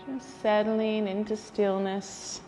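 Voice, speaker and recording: A woman leading a guided yoga nidra relaxation, speaking in a soothing, gentle way with unhurried pauses. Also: mid-range voice, level tone, faint music behind. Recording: long reverberant hall, faint background noise.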